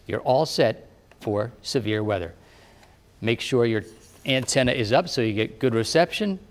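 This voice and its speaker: Tv weatherman guy, plain patient how-to talk, mid pitch, studio with a lapel mic. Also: expressive, normal speed, big-room sound. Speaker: TV meteorologist. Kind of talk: step-by-step demonstration.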